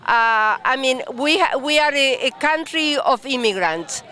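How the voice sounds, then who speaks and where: A woman from Argentina with an Argentine Spanish accent, speaking haltingly outdoors at a protest. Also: high voice, expressive, sharp tone. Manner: halting, searching for words.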